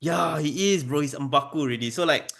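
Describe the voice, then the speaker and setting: A man in his thirties co-hosting a podcast, chatting casually in an excited, emphatic way. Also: on the high side, brisk, creaky. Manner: excited and emphatic, calls his co-host "bro". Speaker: podcast co-host. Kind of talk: casual chat.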